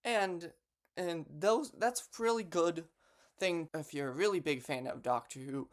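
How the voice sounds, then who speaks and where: A man's voice: on the high side, unhurried. A young man talking casually and unscripted to camera as a vlogger, with a hesitant delivery full of false starts. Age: young adult. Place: bedroom in a home.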